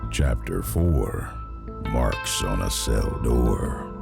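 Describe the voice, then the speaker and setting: A grave, gravelly announcer reads drama titles extremely slowly. His male voice sits over loud music. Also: a bass voice, lively intonation, full character voice.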